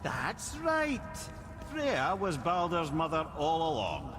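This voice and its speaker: Wry, lilting older Scottish lore-teller. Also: male voice, a little high, huge pitch swings, takes it slowly, exaggerated voice. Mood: explanatory. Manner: lilting, theatrical, savouring old lore. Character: wise, wry older male storyteller companion. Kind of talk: dramatic storytelling, video game dialogue.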